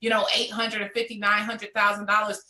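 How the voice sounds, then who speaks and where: A woman around thirty, a network marketing entrepreneur, telling her success story in an animated, motivational way during a live conversation. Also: medium pitch, a little fast, echoey room.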